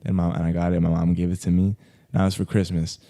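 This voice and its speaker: A man's voice: sits low, completely flat delivery, fast talker, dark tone. A young man from Colombia who grew up in South Florida, talking casually as a guest photographer with lots of "like" fillers and slang. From Colombia, raised in South Florida. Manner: laid-back, heavy use of "like" fillers, slangy. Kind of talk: casual podcast interview. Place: podcast studio.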